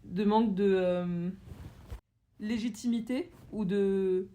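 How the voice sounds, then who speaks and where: A woman's voice: mid-range voice, fairly even intonation, slow, warm tone. A woman podcast host asking a long, searching question, with restarts and fillers.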